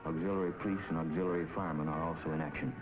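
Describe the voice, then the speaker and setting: A man with an American accent gives grave, deliberate scripted narration in the style of a 1950s documentary. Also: extremely low pitch, fairly even intonation. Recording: dull 1950s film soundtrack, loud music behind.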